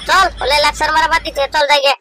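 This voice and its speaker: A man voicing a young village man who teases his friend in crude, rough banter, speaking. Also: very high voice, fairly even intonation, fast, slight background noise. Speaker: voice actor. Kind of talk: comedic cartoon dialogue. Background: loud music.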